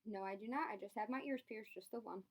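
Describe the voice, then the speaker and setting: A woman's voice: medium pitch, fast talker. A young adult woman, a student, politely and earnestly answering questions as a candidate in a phone interview.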